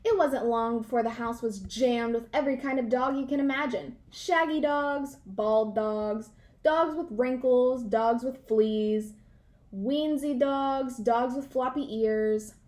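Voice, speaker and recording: A woman with a high-pitched voice narrates a children's storybook. She reads expressively, in an animated read-aloud style, leaning on key words for emphasis. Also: slow.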